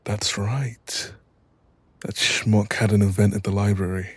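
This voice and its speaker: A man playing an apologetic, exasperated mafia boss in an audio roleplay, with a husky voice and a wry, sweary, chatty manner. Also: on the low side, flat delivery, unhurried, no echo. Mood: gossipy, indignant. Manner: wry, sweary, chatty, calls listener doll. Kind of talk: audio roleplay acting, intimate one-sided dialogue.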